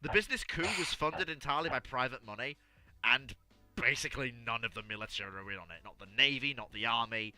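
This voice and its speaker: A man's voice: mid-range voice, quick pace, pushed and bright, no room sound. A man hosting a casual panel discussion and answering audience questions.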